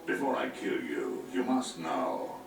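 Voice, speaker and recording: An actor playing a menacing, arrogant sorcerer villain in a video game, taunting in a grandiose, gravelly way. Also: male voice, extremely low pitch, wildly swooping pitch, far from the mic, heavily acted. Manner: taunting, grandiose, unhurried delivery. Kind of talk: video game cutscene acting. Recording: roomy, noisy game audio replayed from afar.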